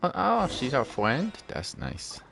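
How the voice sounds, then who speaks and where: A man's voice: mid-range voice, wildly swooping pitch, takes it slowly, creaky, fairly bright tone, slight background noise. A man casually reacting to a video game as he streams, informal and sympathetic, with chatty asides. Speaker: gaming streamer. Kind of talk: casual reactions while playing. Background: game music.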